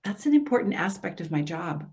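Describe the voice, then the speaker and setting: A woman's voice: lowish voice, sing-song, a little fast, a little mellow. Woman acting hesitant, earnest social worker. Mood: concerned, placating. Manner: hesitant, placating, careful. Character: earnest, apologetic female social worker for elders. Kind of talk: readers theater dialogue.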